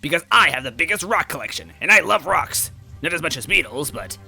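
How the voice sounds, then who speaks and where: A man voicing a gruff, dopey, bearded stout man who is loud, excitable and childishly earnest about partying. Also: a little high, fairly quick, faint music behind, voice pushed up, full character voice. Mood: overjoyed.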